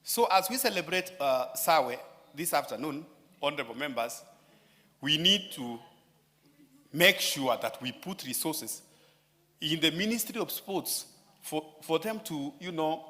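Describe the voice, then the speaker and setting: A man addressing parliament in a floor speech, often turning to the Speaker and pausing on fillers. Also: a little high, lively intonation, pushed and bright. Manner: addresses the Speaker repeatedly, with many filler pauses. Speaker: member of parliament. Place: large parliamentary chamber. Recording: chamber echo with long reverb.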